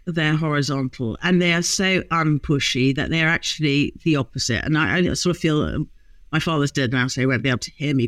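A woman in her fifties, a guest lawyer telling humorous stories in a relaxed interview. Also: sits low, no room sound. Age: 50s. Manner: lively, humorous storyteller. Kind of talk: casual interview chat.

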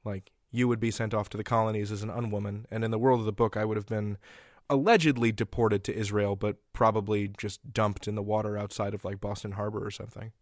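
A man with a lowish voice hosts a conversational interview, recorded very dry with no room sound. He speaks thoughtfully and a little haltingly, piecing his thoughts together as he talks. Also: steady tone, dry recording. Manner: halting, thoughtful, tentative phrasing. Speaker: interviewer, podcast host.

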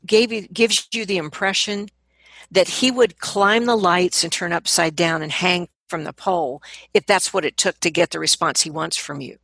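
A woman's voice: lowish voice, steady tone, moderate pace, gravelly, a bit bright, breathy edge, no echo. A woman speaking as a vocal coach in a conversational interview, explaining her points with emphasis and repeating words to drive them home.

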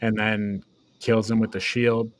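A man hosting a podcast, casually recapping and discussing a film in a halting way that tends to trail off. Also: on the low side, flat delivery. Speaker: podcast host.

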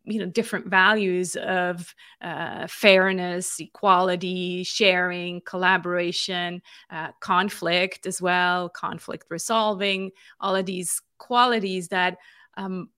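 A woman's voice: medium pitch, bright, forceful tone, no room sound, studio quality. A woman in her forties from Switzerland, a marketing consultant telling her story as an interview guest, thoughtful and full of small restarts. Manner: reflective, many fillers and restarts. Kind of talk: conversational interview.

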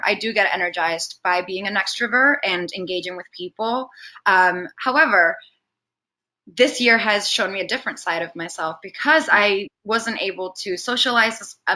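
Casting: woman from Venezuela, native Spanish speaker, bright forward tone, mid pitch, chatty conversational interviewee. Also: poor audio. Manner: chatty, expressive, quick to laugh. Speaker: interview guest. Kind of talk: casual conversational interview, personal stories.

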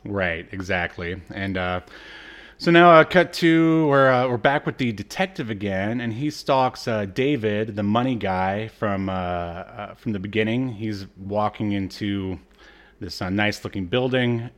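Low-voiced man recapping casually, haltingly. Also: fairly bright tone. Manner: halting, informal storytelling. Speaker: podcast co-host. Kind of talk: casual episode recap chat.

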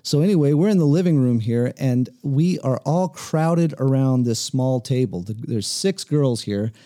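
A man hosting a casual interview in a cabin living room, speaking in a relaxed, rambling way with frequent repeated words. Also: medium pitch, moderate pace, no room sound, clean studio sound. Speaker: host. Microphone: table mic.

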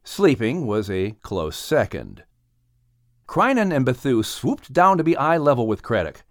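A male narrator reads a children's story from a script. His delivery is animated, with plenty of movement in pitch. The recording is dry and close, as in an audiobook. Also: measured pace, no room sound.

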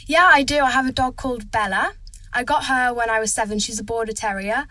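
A woman's voice: fairly high pitch, brisk, pushed and bright, dry recording. A teenage girl, a young actor, chatting eagerly as a guest in a casual interview, with lots of "like". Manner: chatty, eager, says "like" a lot.

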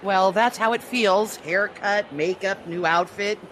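A woman's voice: medium pitch, measured pace, fairly bright tone, lightly acted. A woman playing a flippant, impulsive young earthling in an audio drama, casual and wisecracking. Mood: breezy, wry. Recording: faint background ambience noise.

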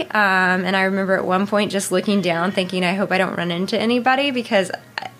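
A woman in her thirties as an interview guest, talking casually and haltingly with many fillers and restarts. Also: medium pitch, fairly bright tone, a little hiss or hum. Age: 30s. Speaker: interview guest, marathon runner.

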